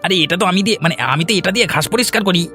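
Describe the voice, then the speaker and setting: A man's voice: high-pitched, racing, bright, forceful tone, faint music behind. A man voicing a greedy, resentful village villain in a cartoon, grumbling and menacing. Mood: flustered, defensive.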